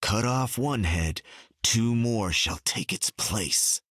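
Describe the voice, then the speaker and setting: A man's voice: fairly low pitch, lively intonation, slow pace, a bit bright, no echo, studio quality, exaggerated voice. An actor playing a brash, bantering archer hero in a video game, proud of his skills. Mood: teasing.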